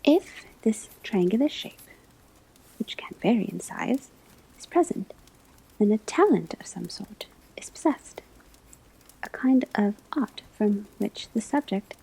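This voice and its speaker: A woman playing a gentle witch-school divination teacher in an ASMR roleplay, whispering breathily as she gives measured instruction. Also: medium pitch, expressive, vocal fry, slightly rough, exaggerated voice, poor audio. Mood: calm, instructive. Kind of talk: ASMR classroom roleplay lecture.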